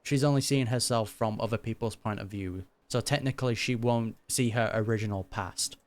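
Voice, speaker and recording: A man's voice: fairly low pitch, moderate pace. A man giving casual gaming reaction commentary, informal and slangy, recapping in plain words. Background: game music.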